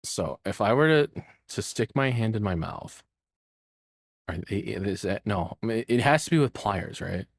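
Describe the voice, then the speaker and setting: A man's voice: lowish voice, animated, a little slow, vocal fry, breathy edge, no echo, clean studio sound. A man giving casual, off-the-cuff reaction commentary as a streamer, rambling, joking and swearing as he goes.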